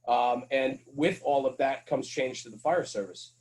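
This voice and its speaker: A man's voice: medium pitch, measured pace, a little mellow. A middle-aged man speaking as a podcast guest, earnest and emphatic, repeating his key points as he talks casually about his work. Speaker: guest, firefighter and fire-service radio host.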